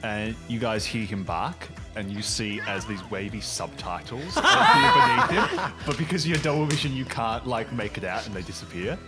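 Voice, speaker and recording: A man's voice: mid-range voice, sing-song, moderate pace, hardly any pauses, loud music behind the voice. A man narrating an improvised tabletop game as game master, in a giggly, amused way.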